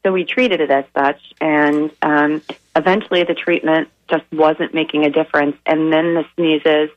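A hoarse woman with a raspy, slightly crackly voice, telling a story earnestly with many pauses as a radio guest. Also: low-pitched, steady tone, dull audio. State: hoarse from a weekend of events. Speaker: guest, animal charity founder. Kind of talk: radio interview.

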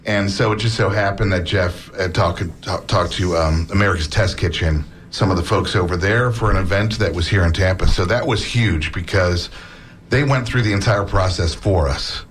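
Low-voiced man chatting as an author. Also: moderate pace, a little hiss or hum. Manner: relaxed, chatty with many fillers and restarts. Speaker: book author, academic. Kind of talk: casual interview talk.

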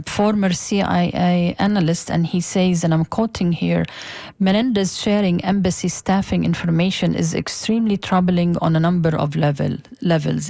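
A woman co-hosting a talk radio show, speaking haltingly with many fillers as she reads out quotes and comments. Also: on the low side, vocal fry, dark tone, no echo. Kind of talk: casual political talk radio discussion.

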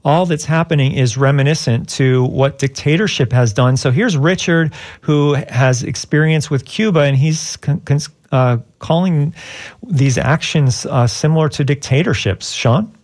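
A man's voice: fairly high pitch, measured pace, darkish tone. A man hosting a live call-in radio show, moderating between guest, callers and emails.

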